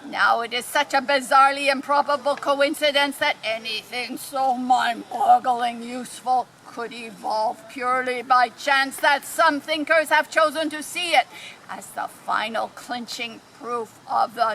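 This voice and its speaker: A woman narrator with a high, bright voice reads a radio play script. She tells it deliberately and slowly, over a clearly noisy amateur recording. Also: steady tone. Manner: deliberate read-aloud storytelling.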